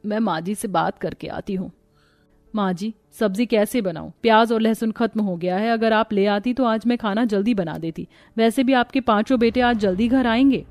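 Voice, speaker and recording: A woman's voice: medium pitch, normal speed, darkish tone, dry recording, soft background music, natural voice. A woman voicing a worried, dutiful young daughter-in-law in a cartoon story, speaking politely and pleadingly. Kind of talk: Hindi cartoon moral-story dialogue.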